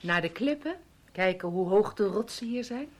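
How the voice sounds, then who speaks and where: An actress in a radio drama playing a scheming, coolly ironic wife who talks in a dry, insinuating way. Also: female voice, medium pitch, animated, normal speed, darkish tone, close to an everyday voice. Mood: dry, guarded.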